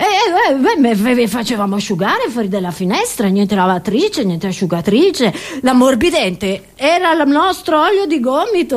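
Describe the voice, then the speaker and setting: A woman playing an indignant old pensioner grandmother in a comic monologue, rambling, stammering and repeating herself as she reminisces. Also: high voice. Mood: nostalgic, proud.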